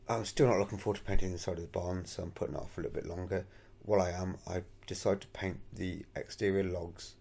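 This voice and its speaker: Man, deep voice, gravelly, husky, matter-of-fact hobby tutorial voice-over. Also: fairly even intonation, fast talker, a little mellow. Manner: plain, matter-of-fact, thinking aloud. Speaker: scale model builder.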